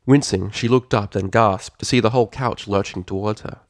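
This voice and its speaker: A man's voice: on the low side, normal speed, no room sound, clean studio sound. A man reading fan fiction aloud as a scripted, dramatic story narrator.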